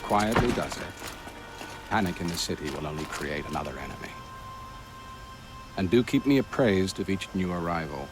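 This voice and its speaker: A man voicing an urbane, drily witty court advisor in a video game, speaking formally and in measured phrases. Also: deep voice, lively intonation, over music. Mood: discreet, deferential. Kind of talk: video game cutscene acting.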